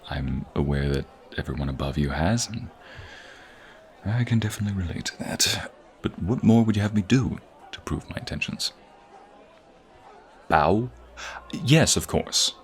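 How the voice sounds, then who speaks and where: A man playing a respectful knight bodyguard in an audio roleplay, earnest and courtly, with wry exasperation and a halting delivery. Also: low-pitched, lively intonation, a faint crowd. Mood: earnest, pleading, then wry, deferential. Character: respectful armored knight bodyguard, teasing a bratty princess. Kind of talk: audio roleplay acting, one-sided dialogue.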